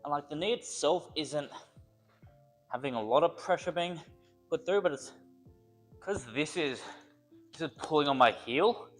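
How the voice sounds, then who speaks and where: A man's voice: on the high side, expressive, a little slow, long pauses, faint music behind. A young man, a personal trainer, chatting casually and joking while he works out, a little congested from a cold. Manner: rambling, joking, thinks aloud between sets. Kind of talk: casual workout vlog talk.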